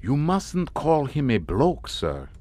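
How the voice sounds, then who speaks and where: An actor playing a courtly, grandiose and mockingly amused Hungarian count in a suspense audio drama. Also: male voice, mid-range voice, huge pitch swings, slow pace, darkish tone, exaggerated voice. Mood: wry storytelling. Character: sardonic, theatrical Hungarian count, host of a chateau.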